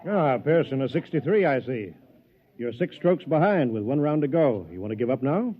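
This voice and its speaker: Actor as smug rich rival, tinny radio. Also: male voice, medium pitch, expressive, natural voice. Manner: scornful, deliberate, lecturing. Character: smug, contemptuous wealthy male rival, coldly competitive. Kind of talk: 1950s radio drama acting. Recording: tinny vintage radio recording.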